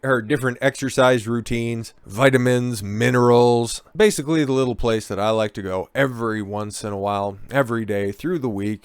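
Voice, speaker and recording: Male vlogger, deep-ish, raspy and under the weather, casual rambling delivery, dry close sound. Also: dry recording. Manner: rambling, tags sentences with "okay?". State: a bit hoarse from being under the weather. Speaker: channel host. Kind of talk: casual talking-head monologue.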